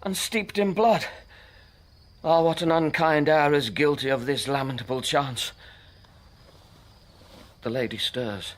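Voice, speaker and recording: An actor playing an older, anxious friar in a classical radio drama, formal and urgent. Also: male voice, a little high, measured pace, pauses often, natural voice. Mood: horrified sorrow. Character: kindly old friar, anxious and horrified.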